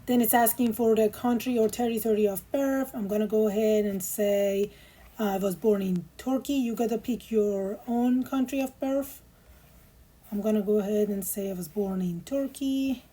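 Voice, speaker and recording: A woman's voice: medium pitch, steady tone, normal speed, mellow. A woman explaining step by step how to fill in an online immigration form, with frequent ums and patient instructions. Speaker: immigration tutorial host. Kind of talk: screen walkthrough tutorial.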